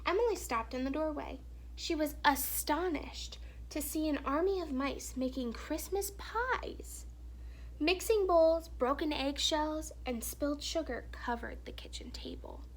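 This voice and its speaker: A woman reading a children's story aloud in an expressive, animated storytelling manner with hushed, dramatic phrasing. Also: high-pitched, a little slow, a little hiss or hum, rough recording. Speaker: teacher or reader reading a children's picture book aloud.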